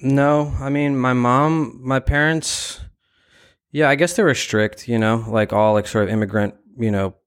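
A man speaking casually as an interview guest, reflective and halting, often padding his sentences with "like" and "you know.". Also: medium pitch, clean tone. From Brooklyn, New York; born in Ukraine. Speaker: interview guest, gallery founder.

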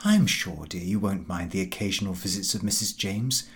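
A man voicing a sharp-tongued wife in a comic novel, genteel and cutting in manner. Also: deep voice, a little fast, voice pushed down. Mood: cutting reproach. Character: sharp-tongued wife defending her clever friend.

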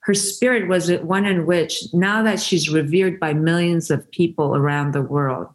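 A woman's voice: on the low side, fairly even intonation, unhurried. A woman from Iran whose first language is Farsi, telling stories earnestly and reflectively as an interview guest, with frequent restarts. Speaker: interview guest, founder of a women's giving circle. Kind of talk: conversational interview storytelling.

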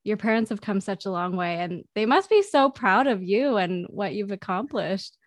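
A woman's voice: mid-range voice, very animated, moderate pace, dry recording, studio quality. A young woman hosting a podcast interview, chatty and upbeat. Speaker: podcast host.

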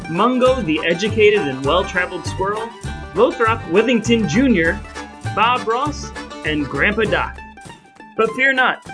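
A man co-hosting a podcast, moving between casual chat and playful, mock-grand announcements. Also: sits high. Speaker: podcast co-host.

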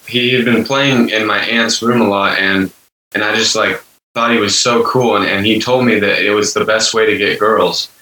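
A young man in his twenties with a low but bright voice talks earnestly as an interview guest, rambling through fillers and repeated words. He sounds set back from the mic in an echoey room. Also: far from the mic. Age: 20s. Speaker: guest musician. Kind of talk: casual interview chat.

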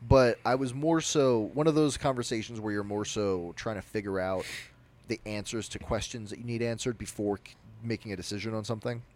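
A young man with a naturally loud voice, telling a personal story on a podcast in a rambling, animated way. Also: fairly low pitch, a little fast, a little hiss or hum. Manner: animated, rambling, with frequent restarts. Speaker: podcast co-host. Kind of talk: casual storytelling.

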